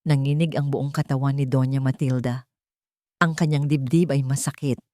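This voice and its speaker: A woman narrating a dramatic love story in a steady, scripted storytelling manner. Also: very deep voice, normal speed, no echo, clean studio sound.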